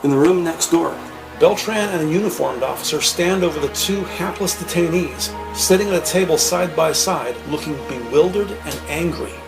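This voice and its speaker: A man's voice: fairly high pitch, normal speed, creaky, music in the background, rough recording. A man narrating a scripted crime audio drama with vivid, slightly wry storytelling.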